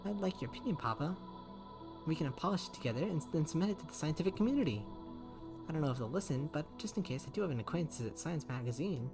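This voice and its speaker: A man voicing a timid young woman scientist who pleads softly with her father in a game reading. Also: on the high side, expressive, fast, music in the background, voice pushed up. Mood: earnest. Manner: soft, pleading, eager to please.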